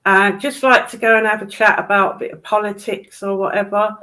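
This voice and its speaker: A woman's voice: medium pitch, level tone, fairly quick, pushed and bright, rough recording. A woman with a British accent chatting casually in a blunt, sweary, emphatic way. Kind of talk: casual chat.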